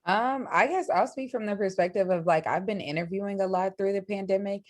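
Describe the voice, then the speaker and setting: A young woman co-hosting a podcast, speaking in a low, fairly monotone voice and thinking out loud. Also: fairly quick. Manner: says her voice is low and monotone, thoughtful with restarts. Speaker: podcast co-host. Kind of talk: casual podcast discussion.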